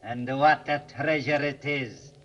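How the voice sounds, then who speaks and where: An actor playing a sly, greedy old ghostly sea dog with stage pirate dialect in an old radio drama. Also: male voice, medium pitch, natural voice. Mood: sly gloating. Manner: cackling, archaic sailor talk, drawn-out delivery. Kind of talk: old-time radio acting. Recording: muffled vintage radio recording.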